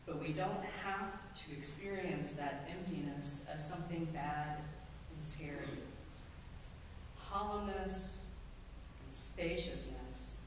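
Earnest woman minister in a booming hall. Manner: measured, earnest, slows for emphasis. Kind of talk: sermon. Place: large church sanctuary, at a pulpit. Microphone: pulpit gooseneck mic, far camera. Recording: echoey hall from far off, ambient noise.

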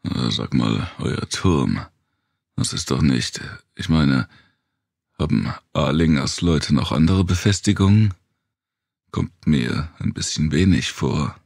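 A man plays a weary wanderer in a dark audiobook, guarded and hesitant. His voice is extremely deep and creaky, recorded dry. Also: expressive, takes it slowly, dry recording, full character voice. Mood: hesitant, doubtful.

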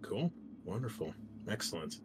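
Low-voiced man hosting a gaming show. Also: very animated, normal speed, slight background noise. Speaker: game master and host. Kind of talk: casual hosting chat for an actual-play podcast.

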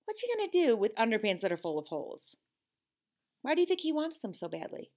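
A woman's voice: fairly high pitch, wildly swooping pitch, a little fast, a little mellow, a little hiss or hum. A middle-aged woman teacher reading a picture book aloud to children expressively, with conversational asides. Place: classroom, indoors.

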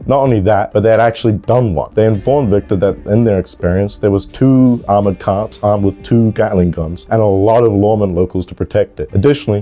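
A man's voice: deep voice, dark tone. A man reading scripted narration of a game roleplay story, in a wry, wordy storytelling way. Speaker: narrator and video creator.

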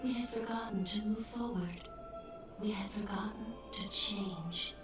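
An actress playing a sorrowful female spirit who speaks in a hushed, partly whispered voice, from a game cutscene. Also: medium pitch, measured pace, close to an everyday voice. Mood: wistful. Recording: distant echoey game audio with music.

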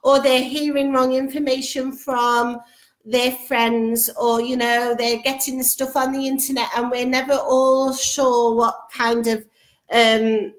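A woman's voice: high voice, little pitch movement, slow pace. A middle-aged woman hosting a casual live stream from her sofa at home, reading out viewer comments and chatting with her young daughter beside her. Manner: chatty, warm-toned aside to her daughter, many restarts. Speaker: host. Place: living room sofa at home. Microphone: webcam at close range.

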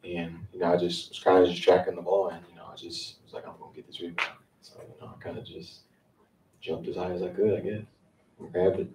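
A young college player speaks softly and hesitantly in a low, dark voice, his words trailing away. The sound is distant and echoey, picked up from a table mic. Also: level tone, fairly quick, a little hiss or hum. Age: young adult. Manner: soft-spoken, hesitant, trails off. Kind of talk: press conference answers. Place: press conference room.

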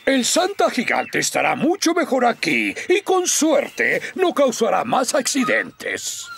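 A man voicing a flustered, bossy older fire chief with a gravelly voice in a Latin American Spanish cartoon dub. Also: extremely high pitch, wildly swooping pitch, slow pace, exaggerated voice. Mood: relieved. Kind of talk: animated children's cartoon dub. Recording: studio dub under loud music.

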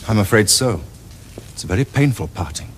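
A man acting a teasing young Victorian dandy in a period television comedy. His upper-class English speech is quick and swoops up and down with arch theatricality. There is a faint noise on the old recording. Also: fairly low pitch, expressive, natural voice. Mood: romantic, earnest.

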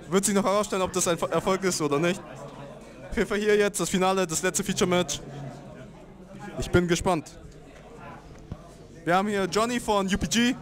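A young man, commentating a card game tournament in a laid-back, slangy way. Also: high voice.

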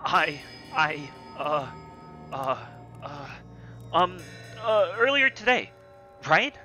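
A man acts out a sarcastic young skeptic in a radio play, easily rattled. His voice is bright and a bit high, with unhurried pacing and some faint music behind. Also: big pitch swings, full character voice. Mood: panicked.

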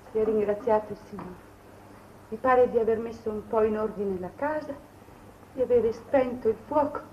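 High-voiced, tender old mother played by a woman. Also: slight background noise, lightly acted. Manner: gentle, solemn, tender. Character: frail pious old mother, tender and resigned. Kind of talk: 1950s Italian television drama acting. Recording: dull 1950s TV soundtrack.

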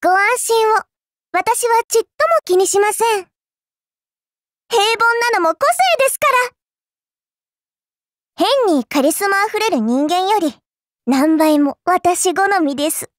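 A woman's voice: very high voice, a little slow, long pauses, dry recording, clean studio sound. A woman voicing a childlike, fairy-like game girl, cutesy and polite, with a sweetly teasing, faintly condescending manner. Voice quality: cutesy, girlish. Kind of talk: Japanese mobile game character voice lines.

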